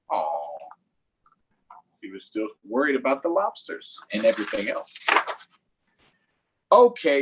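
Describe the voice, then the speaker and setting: A man's voice: mid-range voice, slightly rough, poor audio. A man hosting a children's story time, reading aloud in a playful, sing-song way with chatty asides to viewers.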